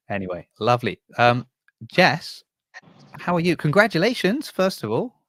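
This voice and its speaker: A man's voice: fairly high pitch, a bit bright, no room sound. A man hosting a casual interview podcast, upbeat and playfully teasing his guests. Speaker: podcast host.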